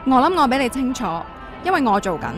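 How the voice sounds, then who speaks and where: An actress playing a defiant, idealistic young band member in a radio drama, with a Hong Kong accent. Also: female voice, high voice, animated, fast, loud music behind the voice.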